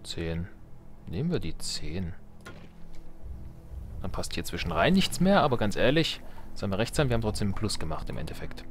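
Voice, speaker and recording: A man's voice: fairly low pitch, very animated, moderate pace, pauses often. A man giving casual, joking gameplay commentary as a let's player, playing with words and muttering to himself. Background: music.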